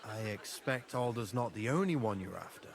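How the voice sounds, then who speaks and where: An actor playing a proud young medieval nobleman in a video game, brisk, direct and confident. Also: medium pitch, lively intonation, vocal fry, noisy background. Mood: conspiratorial, friendly. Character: proud young medieval nobleman, younger brother, hot-headed but loyal. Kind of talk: cinematic video game dialogue.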